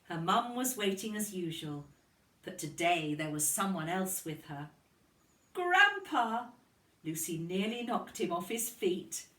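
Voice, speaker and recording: A woman narrating a storybook for young children like a kindergarten teacher, expressive and deliberate. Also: on the low side. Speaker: kindergarten teacher reading aloud. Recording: roomy, distant microphone.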